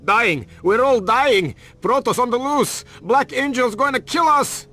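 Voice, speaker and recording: High-voiced terrified, raving trucker. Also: male voice, animated, unhurried, pushed and bright, faint music behind, heavily acted. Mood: panicked. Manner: panicky, muttering, repetitive. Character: terrified male truck driver, raving, half-mad with fear. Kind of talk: video game cutscene acting.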